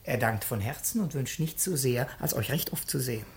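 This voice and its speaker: A man's voice: mid-range voice, brisk, gravelly, a little hiss or hum, close to an everyday voice. Radio play role: sly parasite servant, male actor, oily and ironic, theatrical delivery full of insinuation. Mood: confiding, sly.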